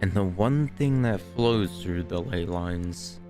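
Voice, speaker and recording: A man playing through a video game, reading dialogue aloud and adding offhand asides. His voice is very deep and his pace unhurried. Game music plays clearly behind him. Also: warm tone. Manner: laid-back, offhand remarks. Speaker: gaming video host. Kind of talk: casual game playthrough, reading lines aloud.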